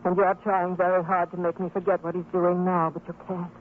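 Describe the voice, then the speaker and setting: A woman playing a worried, resigned mother with a measured, earnest manner, in an old radio drama. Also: lowish voice, completely flat delivery, phone-quality sound, natural voice. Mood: bitter, sorrowful. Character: weary, worried fisherman's wife and mother, bitter, resigned. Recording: thin, band-limited old radio recording, faint noise.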